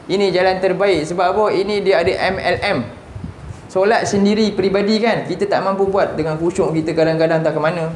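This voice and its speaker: High-voiced Malaysian religious teacher, roomy. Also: male voice, steady tone, a little fast. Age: 40s. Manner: keeps asking the listeners rhetorical questions. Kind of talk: Quran lesson. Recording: roomy with faint background noise.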